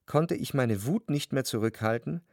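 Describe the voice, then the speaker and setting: Actor as an introspective narrator, dry studio sound. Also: male voice, mid-range voice, normal speed, no echo, natural voice. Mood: pained, confessional. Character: introspective, doubting gentleman narrator recalling his love. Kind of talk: literary radio drama narration. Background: music.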